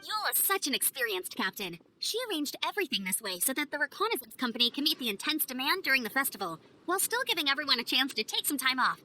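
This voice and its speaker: A woman voicing a perky, energetic young female knight scout in a video game, with friendly, emphatic delivery. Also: high-pitched, fast, few pauses, a little hiss or hum, exaggerated voice, rough recording. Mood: proud, teasing. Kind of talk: game cutscene voice acting.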